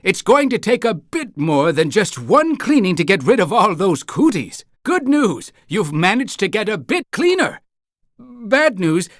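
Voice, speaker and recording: A man's voice: sits high, fairly bright tone, no echo, studio quality, heavily acted. A man voicing an eager, polite and fussy town-guide creature in a cartoon game, with theatrical announcing and awkward hesitations.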